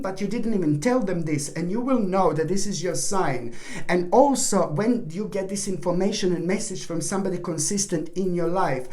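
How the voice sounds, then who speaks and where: A woman's voice: mid-range voice, darkish tone. Rambling woman reading a tarot horoscope. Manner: rambling, with restarts and apologies. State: losing her voice, clearing her throat. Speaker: tarot reader.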